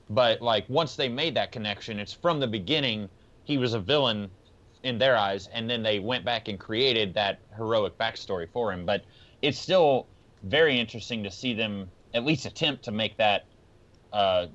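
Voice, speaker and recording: A man's voice: mid-range voice, a bit bright. Man on a dull, lo-fi podcast feed. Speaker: podcast panelist. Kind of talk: casual podcast discussion.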